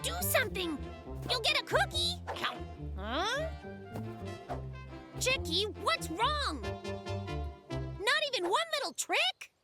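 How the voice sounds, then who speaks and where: A woman's voice: very high-pitched, very animated, slow pace, long pauses, full character voice. A woman voicing a boastful, hyperactive young male fox in a children's cartoon, showy and bossy. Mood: pleading, flustered. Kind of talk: animated children's cartoon acting. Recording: loud music under the voice.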